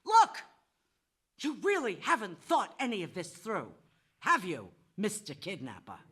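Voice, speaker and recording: A woman's voice: mid-range voice, wildly swooping pitch, measured pace, fairly bright tone, slightly rough, exaggerated voice. An actress playing an exasperated, sarcastic New York woman who works in banking, lecturing in a live audio play. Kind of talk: live stage audio drama.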